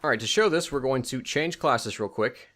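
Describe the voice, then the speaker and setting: A man's voice: mid-range voice, lively intonation, moderate pace, bright, forceful tone. A man giving a casual, unscripted video game tutorial, talking informally and rambling as he corrects and second-guesses himself. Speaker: video host and mod creator demonstrating a game mod.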